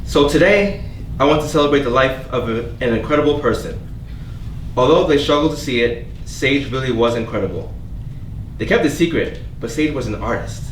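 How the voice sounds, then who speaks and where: A man playing a gentle, hopeful, quietly wounded character who speaks with halting sincerity in a staged play reading. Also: mid-range voice, animated, natural voice. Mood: heartfelt, wistful. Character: gentle, earnest, hopeful stranger named Avery, quietly wounded. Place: theatre staged reading, recorded from a distance. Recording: echoey room, distant mic, background noise.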